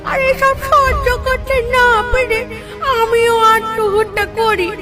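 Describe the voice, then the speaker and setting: Very shrill scolding ghost aunt. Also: female voice, steady tone, slow pace, over loud music. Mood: lamenting. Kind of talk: dramatic Bengali cartoon voice acting.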